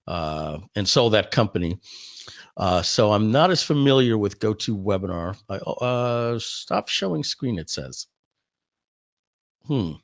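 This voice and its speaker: A man with a slightly hoarse voice, chatting informally and directly to his audience as he hosts a live online webinar. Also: on the low side, dry recording. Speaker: company founder hosting a webinar. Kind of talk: casual live webinar chat. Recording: muffled, close dry webinar audio.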